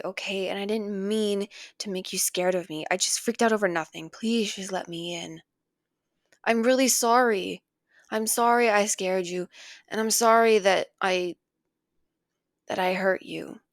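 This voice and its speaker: A woman playing a possessive, doting female villain in an intimate ASMR roleplay, coaxing and tender, with a breathy voice that often drops to a whisper. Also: medium pitch, fairly even intonation, unhurried, dry recording, studio quality, lightly acted. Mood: pleading, remorseful. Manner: coaxing, tender, lingering on pet names.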